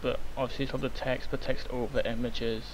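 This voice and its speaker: A young man, hoarse and croaky from illness, rambling with many ums through a software tutorial. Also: mid-range voice, little pitch movement, a little fast. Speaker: tutorial maker. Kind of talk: screen-recorded software tutorial. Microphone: cheap microphone. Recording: faint hiss, cheap mic.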